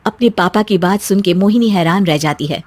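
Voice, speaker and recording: A woman's voice: on the low side, fairly quick, darkish tone, dry recording. A woman giving scripted narration for a cartoon story.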